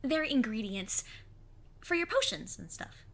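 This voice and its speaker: A woman's voice: sits high, big pitch swings, unhurried, heavily acted. A woman playing an earnest, plucky fifteen-year-old girl in an audio drama, with a literal, matter-of-fact manner. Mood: hopeful, earnest.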